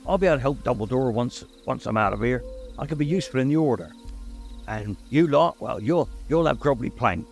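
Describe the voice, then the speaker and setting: Guy putting on a big gruff gamekeeper, halting rough dialect, sniffly, voice swings all over. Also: mid-range voice, animated, darkish tone, soft background music. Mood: upset. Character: huge gruff tearful man, gamekeeper.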